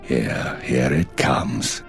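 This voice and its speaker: An actor playing a stern middle-aged spymaster of an empire in a video game, authoritative, clipped and measured. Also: male voice, extremely low pitch, gravelly, full character voice. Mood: contemptuous. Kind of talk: dramatic game voice acting. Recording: music behind the voice.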